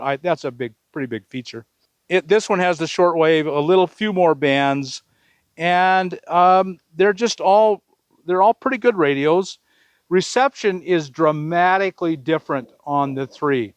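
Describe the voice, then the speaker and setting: Higher-voiced man giving a casual product review. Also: dry recording. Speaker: video host reviewing products.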